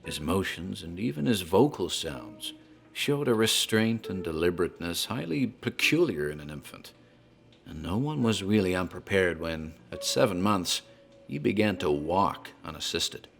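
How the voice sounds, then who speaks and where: Deep-voiced talkative tavern landlord storyteller. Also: male voice, expressive, creaky, fairly bright tone, full character voice. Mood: ominous storytelling. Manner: deliberate, theatrical storyteller, literary wording. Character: talkative tavern landlord recounting a grim local tale, male. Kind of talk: dramatized horror storytelling, scripted narration.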